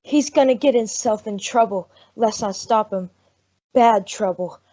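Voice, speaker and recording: A woman's voice: on the high side, unhurried, mellow. A woman playing an anxious, humble frontier mother in an old-time radio western, earnest and pleading in a rustic way. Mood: worried, pleading. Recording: dull lo-fi radio drama recording.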